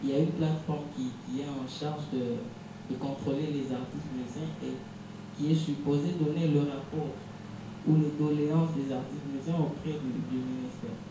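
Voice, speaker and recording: A young man from DR Congo, a musician, giving measured, reflective interview answers with frequent restarts. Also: fairly high pitch, steady tone. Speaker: musician guest. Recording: echoey room from far off, noisy hum.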